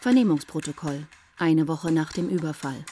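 An actress playing an impersonal announcer who reads case headings in a clipped, matter-of-fact way in a radio drama. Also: female voice, low-pitched, normal speed, dark tone, slight background noise, lightly acted. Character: impersonal female announcer reading out case records.

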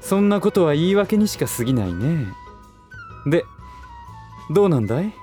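Smug, lilting aristocrat, slightly high-voiced. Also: male voice, big pitch swings, normal speed, mellow, faint music behind. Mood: needling. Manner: languid, lordly phrasing with amused chuckles. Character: smug aristocratic businessman, teasing and condescending. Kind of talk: Japanese voice drama acting.

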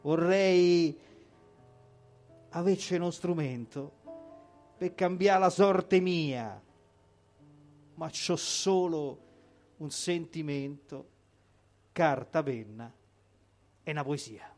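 Male poetry reader, slightly high voice, deliberate emphatic recital over an instrument. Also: darkish tone, soft background music. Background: musical instrument accompaniment.

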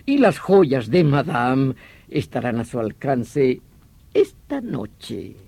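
An actor in a radio drama playing a vehement veteran detective, explaining things in an emphatic, theatrical manner. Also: male voice, medium pitch, big pitch swings, slow pace, vocal fry, mellow, breathy edge, no echo, exaggerated voice. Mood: emphatic, insistent. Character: vehement veteran police detective obsessed with hunting a vampire villain.

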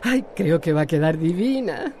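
A bold, reassuring woman hairdresser with a fairly low voice and a Latin American Spanish accent. She speaks in radio soap style, with faint noise behind her. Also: animated, normal speed. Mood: friendly, persuasive. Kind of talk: radio soap opera acting.

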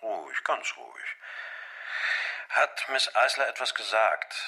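Formal young federal interrogator, insistent, lowish but very sharp voice, crime radio drama. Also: male voice, sing-song, unhurried, breathy edge, heavily acted. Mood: formal, controlled. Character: formal young FBI agent conducting an interrogation.

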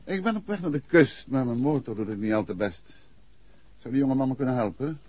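An actor playing a shrewd, courteous gentleman detective with a Netherlands Dutch accent in a 1960s radio drama, polite but probing. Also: mid-range voice, animated, a little fast, slightly rough. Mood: courteous, composed. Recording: muffled old radio recording.

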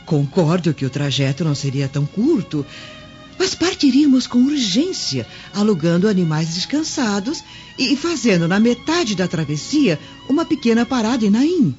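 A woman acting an earnest, worried maidservant in a radio drama. Her delivery is very expressive and deferential. The recording is dull, with soft music behind her. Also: mid-range voice, normal speed, lightly acted. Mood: anxious, earnest. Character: devoted, anxious maidservant urging escape. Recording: muffled lo-fi recording.

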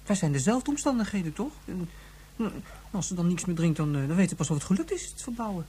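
Young boy for radio play: rapid, pressing, stammering questioner, played by an actor, faint noise behind. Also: mid-range voice, big pitch swings, mellow, close to an everyday voice. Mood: pleading. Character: David, young boy pleading about his departing mother. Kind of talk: radio drama acting.

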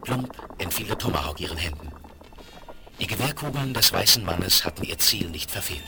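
A man's voice: sits low, expressive, moderate pace, gravelly. A man narrating a Western audio drama in a dramatic, scripted storytelling style. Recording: very noisy 1970s vinyl rip.

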